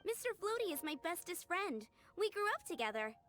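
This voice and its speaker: A woman's voice: very high voice, big pitch swings, a little fast, fairly bright tone, faint music behind, exaggerated voice. A woman voicing a dreamy young flower shop girl who talks in a sweet, childlike way, in a video game.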